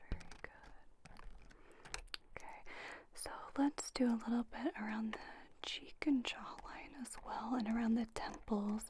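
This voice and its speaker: A woman speaks in a breathy whisper for an ASMR roleplay as a spa owner. Her delivery is gentle and reassuring, guiding a treatment step by step. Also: a little high. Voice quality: breathy, mostly unvoiced whisper. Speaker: ASMR creator playing a spa owner teaching facials.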